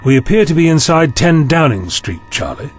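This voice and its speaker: A man's voice: fairly high pitch, very animated, moderate pace, faint music behind. A man playing a courteous, wry time-travelling eccentric with lost memory, speaking formally in an audio drama. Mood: patient, explanatory. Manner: formal, wordy, inquisitive.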